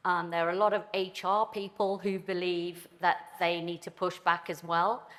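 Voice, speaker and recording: A woman in her fifties speaking as an immigration panelist, measured and explanatory. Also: fairly low pitch, little pitch movement, fairly bright tone. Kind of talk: conference panel answers. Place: conference hall panel. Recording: long hall reverb.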